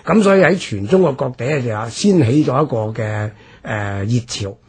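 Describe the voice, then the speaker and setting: Very husky Hong Kong man explaining. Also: mid-range voice, big pitch swings, moderate pace, darkish tone. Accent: Hong Kong Cantonese. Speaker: history commentator on radio. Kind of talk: explanatory radio discussion.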